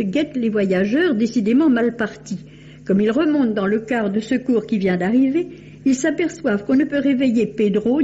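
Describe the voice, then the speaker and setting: A woman with a soft, mellow tone reads a literary book review in the manner of 1950s radio. She tells it in a measured, storytelling way. The old recording is dull, with faint hiss. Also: high voice.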